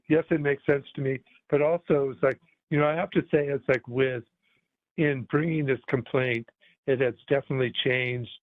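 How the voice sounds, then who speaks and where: Complainant, male, flat and hesitant, phone-line sound. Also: medium pitch, no echo. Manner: hesitant, searching for words. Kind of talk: public meeting testimony.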